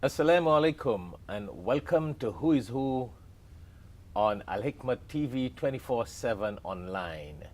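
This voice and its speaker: A man's voice: mid-range voice, expressive, unhurried, darkish tone. A man hosting an interview show in a studio, greeting his guest and asking questions in a chatty, enthusiastic way. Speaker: talk show host. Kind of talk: hosted interview. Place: television studio.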